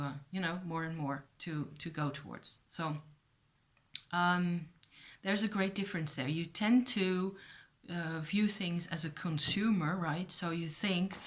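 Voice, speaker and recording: A woman whose first language is Dutch, chatting casually to her viewers in a rambling, reflective way, full of ums, uhs and restarts. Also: low voice. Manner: rambling, hesitant, many fillers and restarts. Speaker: vlogger. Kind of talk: casual rambling chat to camera.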